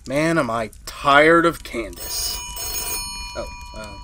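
A woman playing a very low-voiced, slow, nervous husband. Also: animated, very noisy, full character voice. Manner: hesitant, flustered, confiding. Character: nervous, henpecked husband, a university history teacher. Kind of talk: retro 1940s radio drama, first-person narration.

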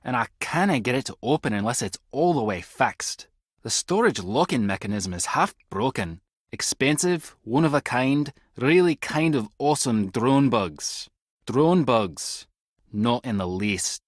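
A clever Scottish intelligence agent in an adventure game. His husky voice sits fairly low and swings freely in pitch. He is wry and tech-savvy, recorded close and dry. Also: lively intonation, unhurried, no room sound. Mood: playful, then annoyed, dry. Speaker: video game voice actor. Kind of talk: scripted adventure game dialogue.

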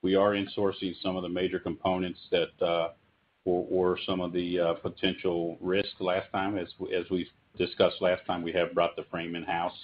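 Male executive, deep voice, formal explanation, dull conference-call audio. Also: monotone, moderate pace. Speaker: company executive on an earnings call.

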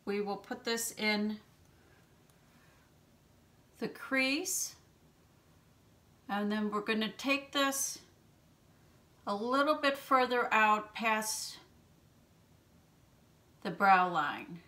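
A woman in her fifties hosting a makeup tutorial from a small room at home, explaining each step in a gentle, chatty way and clearing her throat now and then from allergies. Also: mid-range voice, very slow, pauses often. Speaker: beauty channel host.

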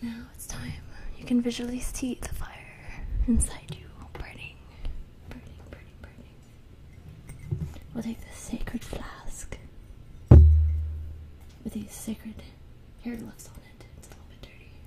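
A young woman speaks in a slow whisper, close to the microphone. Her voice is fairly high when it surfaces, and she delivers a fantasy ritual roleplay in ASMR style. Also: flat delivery. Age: young adult. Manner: soft, unhurried whispering. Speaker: ASMR video host. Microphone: close studio microphone on a stand.

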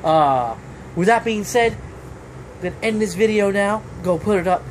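A man's voice: sits high, expressive, unhurried, slight background noise, rough recording. A man, vlogging casually outdoors on a phone held at arm's length, rambling with lots of fillers and "okay?". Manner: rambling, filler-heavy, keeps saying okay. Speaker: trucker vlogger. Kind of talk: casual vlog promo. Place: outdoors under a building awning. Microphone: phone camera at arm's length.

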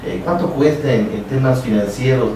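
A Mexican man talks on a radio show in a halting, explanatory manner, circling back over his phrases. His voice is medium-pitched and sounds distant in a very echoey room. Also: warm tone, a little hiss or hum. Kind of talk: casual radio conversation. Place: small radio studio, indoors.

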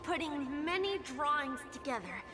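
A cheerful little child from an anime video game. The voice is very high and swings sweetly up and down, innocent and earnest, with music behind it. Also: female voice, very animated, normal speed.

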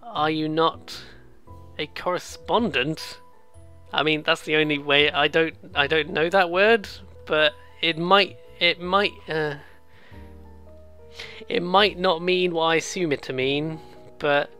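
A let's player giving casual, excitable, rambling game commentary, often interrupting themselves and reading dialogue aloud. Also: medium pitch, slow pace, clean tone. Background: game music.

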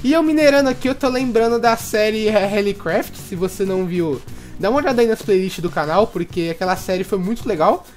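A young Brazilian man chatting excitably through his gameplay commentary and tossing in tag questions constantly. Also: high voice, a little fast, faint music behind. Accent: Brazilian Portuguese. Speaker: gaming video host.

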